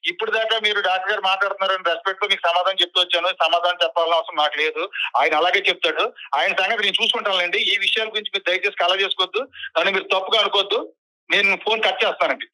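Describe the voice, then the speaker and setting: A man's voice: sits high, steady tone, rapid-fire, sharp tone, no room sound. A young man arguing defiantly and irritably as a caller over a phone line. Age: young adult. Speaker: caller, college student. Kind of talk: heated phone conversation.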